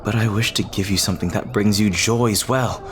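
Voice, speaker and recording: A lovestruck pirate captain, played by a man in an audio roleplay. His voice is low and deep. He speaks earnestly and hesitantly, with tender, formal, old-fashioned phrasing. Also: fairly even intonation, fairly quick, crowd noise, lightly acted. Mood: tender, pleading. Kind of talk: ASMR roleplay acting.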